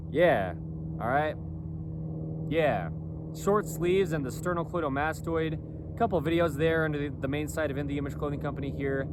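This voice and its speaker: A man vlogging outdoors for his clothing brand in a loose, rambling way, often tagging his points with "okay?" and "you know". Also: on the high side. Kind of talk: casual outdoor monologue. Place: outdoors in a city. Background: faint traffic, airplanes and sirens.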